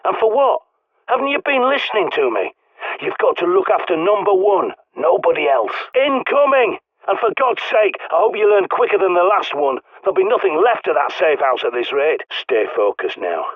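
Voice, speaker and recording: An actor playing a gruff, bossy British survivalist who guides a player by radio, speaking bluntly and swearing freely. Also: male voice, high-pitched, very animated, normal speed, no echo. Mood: urgent. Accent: British English. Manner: barking orders over a radio. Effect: radio filter. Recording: tinny dry radio-line sound.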